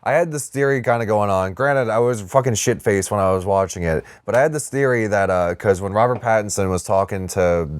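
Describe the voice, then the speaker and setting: Deep-ish guy on a movie podcast, rambling and sweary, close dry sound. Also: brisk, few pauses, clean tone, no room sound. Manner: rambling, profane, hedging with lots of "like". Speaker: podcast co-host discussing movies. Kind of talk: casual film chat.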